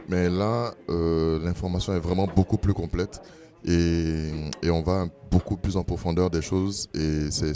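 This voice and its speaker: A man giving a spontaneous, halting testimonial as an event participant, repeating words as he goes. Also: deep voice, warm tone.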